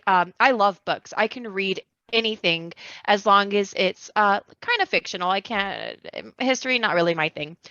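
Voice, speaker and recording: Bright-voiced woman chatting on a podcast. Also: medium pitch, no room sound, highs cut off. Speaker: podcast guest. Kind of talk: casual chat.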